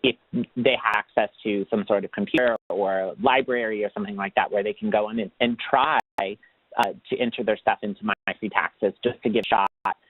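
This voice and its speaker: A man's voice: on the low side, steady tone, moderate pace, no echo. A man giving a casual, loosely rambling explanation as a program manager on a webinar, over a phone-like connection. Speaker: tax assistance program manager. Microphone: phone or web conference call. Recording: dry, narrow phone-line sound.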